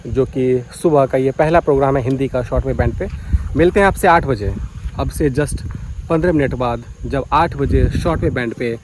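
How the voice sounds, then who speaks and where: A man's voice: medium pitch, moderate pace, slight background noise, low-quality recording. A man giving a casual, explanatory vlog, speaking directly to viewers outdoors in a village. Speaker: vlogger. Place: outdoors in a village by paddy fields.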